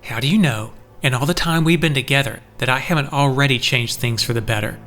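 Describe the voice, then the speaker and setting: A man acts out a brooding, jealous man who holds dangerous powers. His medium-pitched voice is guarded and tense, quick to turn defensive. It plays as audio drama over faint music. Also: fairly bright tone, close to an everyday voice. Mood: challenging.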